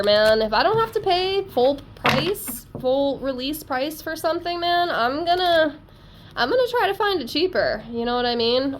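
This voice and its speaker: A woman's voice: fairly high pitch, a little hiss or hum. A woman with a congested, stuffy voice from a cold, chatting casually and rambling as she reads tarot cards. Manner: rambling, talkative, self-deprecating. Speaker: tarot reader.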